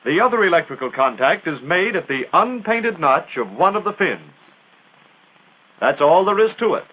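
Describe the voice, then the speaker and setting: High-pitched American man, vintage film narrator. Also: big pitch swings, normal speed, breathy edge. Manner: punchy, emphatic, instructional. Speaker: military training film narrator. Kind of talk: 1940s military training film narration. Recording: tinny narrow-band 1940s film soundtrack.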